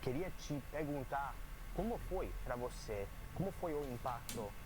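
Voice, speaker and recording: A man learning a language, talking in a hesitant, halting way and searching for words. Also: mid-range voice, animated. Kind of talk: casual conversation. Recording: noisy clip from another video.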